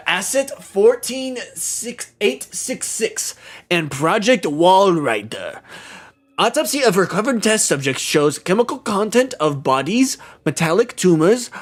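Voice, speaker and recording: A man's voice: sits high, pitched above the performer's own voice, full character voice. A man putting on a German-accented file reader. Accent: mock German. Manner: formal, clipped recital. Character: stiff German-accented man reading secret files. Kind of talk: dramatic reading of in-game documents.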